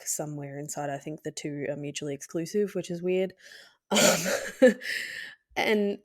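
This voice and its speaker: Hoarse, nasal woman podcast host. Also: on the low side. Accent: Australian. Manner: candid, hesitant, with frequent fillers and soft laughs. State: hoarse from a cold. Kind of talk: casual solo podcast talk.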